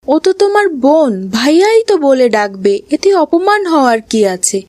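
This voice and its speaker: High voiced cartoon mom played by a woman, gentle but scolding, very dry studio-like sound. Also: very animated, normal speed, no room sound. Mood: reproving. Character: gentle, reproving mother of a schoolboy. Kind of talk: children's moral cartoon voice acting.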